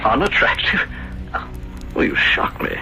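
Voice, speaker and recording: An actor playing a middle-aged, courtly, scheming gentleman in a theatrical 1970s radio drama, hesitating for effect. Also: measured pace, lightly acted. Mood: coaxing. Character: smooth, scheming middle-aged Italian gentleman hiding a secret. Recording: dull vintage radio broadcast, music behind.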